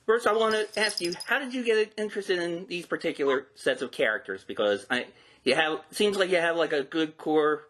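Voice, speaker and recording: A man's voice: a little high, fairly bright tone. A man hosting an interview show, talking in a chatty, informal way. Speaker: host. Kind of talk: podcast interview and show introduction. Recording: roomy echo with clear background noise.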